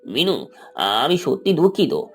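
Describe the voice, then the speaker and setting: A man playing a brave, apologetic young village boy with a husky voice in a cartoon moral story. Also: fairly high pitch, big pitch swings, measured pace, a bit bright, faint music behind, exaggerated voice. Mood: apologetic, resolute.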